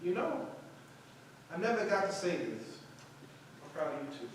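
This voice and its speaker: High-voiced, humble drama teacher played by a man, very echoey. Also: very animated, moderate pace, distant mic. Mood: warm, surprised. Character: humble acting teacher turned director, proud and emotional. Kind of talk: staged play reading. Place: large echoey indoor room. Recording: echoey hall from afar, faint noise.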